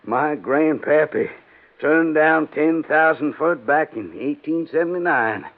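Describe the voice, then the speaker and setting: A man's voice: fairly high pitch, measured pace. An actor playing a proud, irascible, fat older Southern rich man in a 1950s radio drama, folksy and commanding, with an American Southern accent. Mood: indignant. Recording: muffled vintage radio drama recording.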